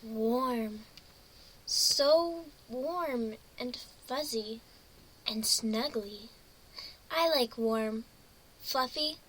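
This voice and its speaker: A woman's voice: a little high, drawn-out words, pauses often, a bit bright, heavily acted, low-quality recording. A woman voicing a naive, dazed female unicorn pony in a first-person story reading, dreamy and hesitant. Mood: drowsy, cozy.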